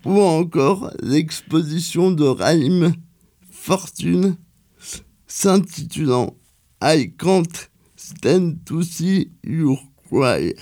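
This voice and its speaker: A man reading a cultural events column on local radio, slow and careful, with some hesitations. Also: a little high, expressive, dark tone.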